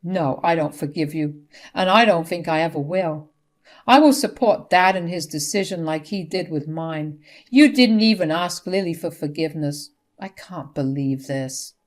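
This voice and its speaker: A woman's voice: deep voice, moderate pace, lightly acted. Woman acting an exasperated, angry adult son. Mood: angry accusing. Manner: firm, accusing, exasperated. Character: hurt, angry adult son rejecting his mother.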